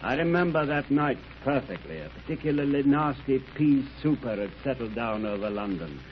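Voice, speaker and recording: A man's voice: mid-range voice, very animated, normal speed, natural voice. An actor playing a genial older English doctor who tells his stories in a chatty, old-fashioned 1930s radio style. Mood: storytelling. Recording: muffled, noisy 1930s radio recording.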